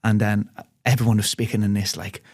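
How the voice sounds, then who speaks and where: A man in his thirties with a light Liverpool accent, telling stories as an interview guest in a chatty, animated way. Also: fairly low pitch, brisk. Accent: light Liverpool (Scouse). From England, raised in Spain. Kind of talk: casual interview chat.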